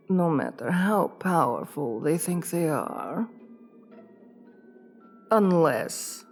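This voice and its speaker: Woman voicing a slow, regal, seductive vampire queen. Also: on the low side, darkish tone, exaggerated voice. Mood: possessive, authoritative. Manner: formal, courtly, commanding, coaxing. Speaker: ASMR roleplay performer. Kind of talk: scripted ASMR roleplay, addressing the listener.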